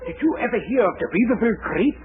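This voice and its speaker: A man's voice: extremely high pitch, expressive, racing. An actor playing a scheming young man who poses as a pompous Viennese doctor with a mock German accent, in 1940s radio comedy style. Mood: ominous. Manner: pompous, emphatic, comically alarmist. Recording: tinny 1940s radio broadcast, audience noise.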